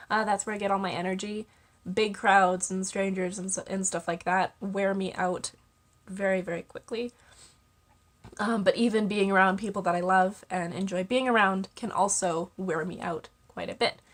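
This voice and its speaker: A woman's voice: medium pitch, fairly even intonation, moderate pace. Stuffy-nosed young woman vlogging casually. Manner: chatty, many ums and little laughs. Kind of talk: casual talk to camera. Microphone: camera mic.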